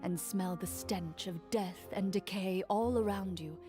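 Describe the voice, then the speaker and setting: A woman voicing a poised game master who narrates a courtroom scene in a measured, formal, dryly stern way, in a video game. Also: medium pitch, lightly acted. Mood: narrating. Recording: game audio with clear music underneath.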